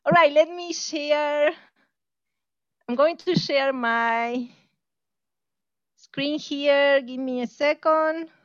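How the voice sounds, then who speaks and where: High-voiced Argentine woman teaching online. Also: extremely slow, long pauses, dry recording, highs cut off. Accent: Argentine Spanish. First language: Spanish. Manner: friendly, laughs often, many fillers. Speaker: math lecturer. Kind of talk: informal online lecture. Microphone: video call.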